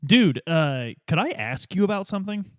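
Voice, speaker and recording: Male role-player as laid-back detective, slangy, hesitant delivery, slightly high voice, dry recording. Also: sing-song, moderate pace, dry recording. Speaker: tabletop game player playing a detective. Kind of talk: casual improvised role-play.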